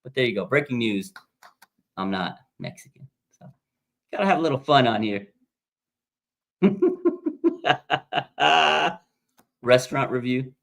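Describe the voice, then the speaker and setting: An American man of about forty from Las Vegas, hosting a casual live stream and reading out fan comments in an excitable way. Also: takes it slowly, gravelly, long pauses. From Las Vegas, Nevada, USA. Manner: excitable, with sudden pitch jumps. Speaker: livestream host.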